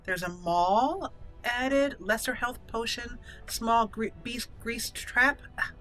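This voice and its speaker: A woman's voice: on the high side, slow pace, bright, forceful tone, low-quality recording. A woman hosting a let's-play video, commenting casually and talking to herself and the game characters as she plays. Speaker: gaming video host. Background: game music.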